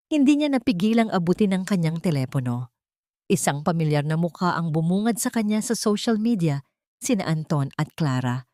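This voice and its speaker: A woman tells a dramatic story from a script. Her voice sits low and has a dark, mellow tone. The sound is dry, with no room around it. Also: no room sound.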